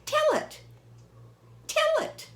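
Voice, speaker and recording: Woman doing a squeaky, excitable little pig girl. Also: extremely slow, heavily acted. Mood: eager. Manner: eager, asks questions.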